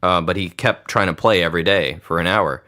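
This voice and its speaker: Low-voiced, reflective male podcast host. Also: a little fast, sharp tone. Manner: thoughtful, reflective, musing aloud. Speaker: podcast host, grandson of the pianist. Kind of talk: conversational interview.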